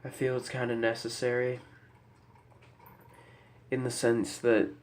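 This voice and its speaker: A man's voice: mid-range voice, monotone, unhurried. A young man with a head cold talking unscripted to camera, congested, somber and hesitant, as a YouTuber apologetically addressing his viewers. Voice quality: stuffy, congested. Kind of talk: unscripted personal talk to camera. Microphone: camera microphone.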